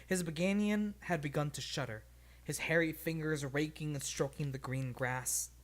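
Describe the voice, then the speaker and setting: A read-along streamer with a medium-pitched voice. The delivery is expressive storybook narration, informal and unpolished, as on a live stream. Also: moderate pace.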